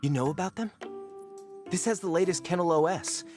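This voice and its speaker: A man's voice: high voice, fairly quick, darkish tone, faint music behind, close to an everyday voice. An actor playing a timid, geeky young male data analyst in a video game, eager and secretive.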